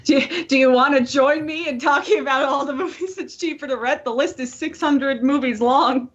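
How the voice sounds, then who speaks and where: A woman co-hosting a casual podcast, talking in an animated, playful way and swearing freely. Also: high-pitched, normal speed. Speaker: podcast co-host. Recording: dull lo-fi voice-call audio.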